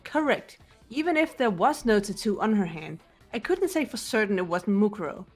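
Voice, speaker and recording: A woman's voice: medium pitch, very animated, moderate pace, slightly rough, soft background music. Woman as cool analytical teenage girl sleuth. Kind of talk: amateur video game voice acting.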